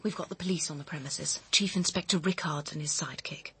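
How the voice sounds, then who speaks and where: An actress playing a cool, manipulative woman who insistently coaches her lover in a radio drama, with a husky, controlled voice. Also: low voice, fairly even intonation, fairly quick, noisy background. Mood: guarded, urgent.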